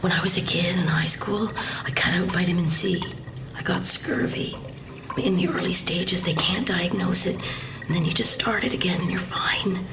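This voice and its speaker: An actress playing a defiant young woman captive in an audio drama, blunt and conspiratorial, speaking in a hush in an echoey room. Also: fairly low pitch, steady tone, moderate pace, in a whisper, close to an everyday voice.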